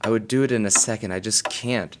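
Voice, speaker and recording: A man's voice: on the low side, brisk, lightly acted. An actor playing a miserable, remorseful young man in an audio drama, earnest and subdued. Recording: clear background noise.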